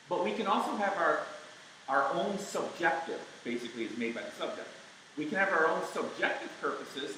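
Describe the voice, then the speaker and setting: A man speaking at a camp, explaining his points step by step in an emphatic way; he says he has a loud voice. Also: a little high, a little hiss or hum. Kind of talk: live apologetics talk. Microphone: mic taken off partway. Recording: very echoey room.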